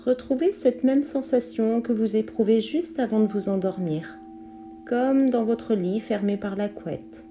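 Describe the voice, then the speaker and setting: A woman leading a guided yoga relaxation as an instructor, speaking calmly in measured, instructive phrases. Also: a little high, slight background noise. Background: meditation music with singing bowl tones.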